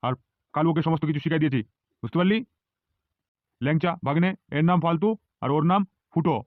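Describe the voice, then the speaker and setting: Cartoon gang boss played by a man: boastful, commanding uncle, quick delivery, phone-like recording. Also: on the high side, dry recording, lightly acted. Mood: jovial command. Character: swaggering village gang boss and doting uncle. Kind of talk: amateur cartoon dubbing. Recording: tinny, phone-like narrow sound.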